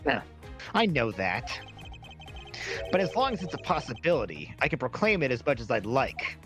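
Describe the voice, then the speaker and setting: A man's voice: mid-range voice, big pitch swings, fairly bright tone, faint music behind. A man voicing a proud, confrontational agent in a video game fan dub, with theatrical, emphatic delivery. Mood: defiant. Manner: theatrical, emphatic, drawn-out words. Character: proud male agent with a wolf fixation, brash and confrontational.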